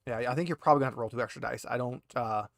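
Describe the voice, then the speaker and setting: A man's voice: mid-range voice, racing, gravelly, fairly bright tone, no room sound, clean studio sound. A man talking casually as game master, explaining rules and narrating a tabletop game in an informal, slightly hesitant, patient way.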